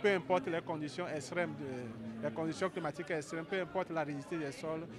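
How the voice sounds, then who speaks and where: A man's voice: fairly high pitch, a little fast. A man explaining things in an interview as an organisation's representative. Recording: busy outdoor crowd noise.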